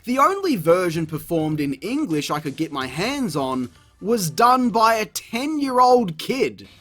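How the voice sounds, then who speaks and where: Man reviewer, sarcastic and profane, slightly high voice that swings widely in pitch, soft music underneath. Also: big pitch swings, a little slow. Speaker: video reviewer. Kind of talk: comedic review narration.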